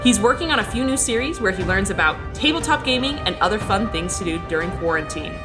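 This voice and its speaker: A woman's voice: medium pitch, expressive, fast talker. A woman giving a chatty, lightly wry host intro to an audio drama, over a music bed. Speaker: host and player. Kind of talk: casual show intro.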